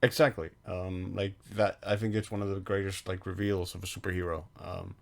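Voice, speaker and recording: A man co-hosting a film podcast, casually recounting scenes in a halting, piecemeal way. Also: sits low, level tone, creaky. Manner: halting, piecing thoughts together in fragments.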